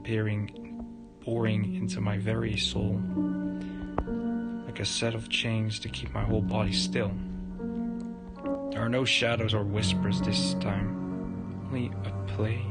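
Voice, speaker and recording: A man livestreaming, reading a horror visual novel aloud in a halting way with stumbles. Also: on the low side, gravelly. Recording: loud game music behind voice. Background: game music.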